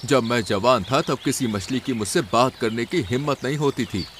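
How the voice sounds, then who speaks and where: A man's voice: medium pitch, normal speed, a bit bright, slight background noise, close to an everyday voice. A man voicing a bitter, proud old male heron in a cartoon, with an indignant, self-important manner.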